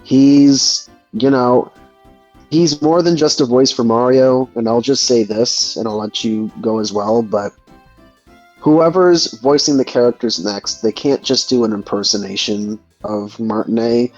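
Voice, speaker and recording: A man chatting casually as a discussion guest, thinking aloud in a halting way. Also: mid-range voice, a little mellow, lo-fi sound, faint music behind. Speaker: guest in a gaming discussion, aspiring voice actor. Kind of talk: casual chat.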